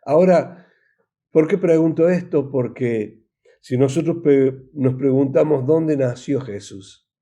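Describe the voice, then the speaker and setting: Slow, mellow-voiced Argentine pastor. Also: male voice, medium pitch. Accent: Argentine Spanish. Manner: halting, repetitive, with rhetorical questions. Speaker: pastor preaching. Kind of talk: informal recorded sermon.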